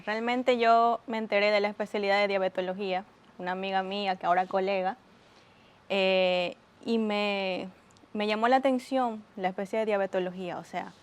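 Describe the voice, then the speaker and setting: A woman's voice: medium pitch, fairly even intonation, moderate pace. A young woman from Argentina with a Bolivian Spanish accent, a doctor guest on a TV interview, explaining earnestly with some hesitations. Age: young adult.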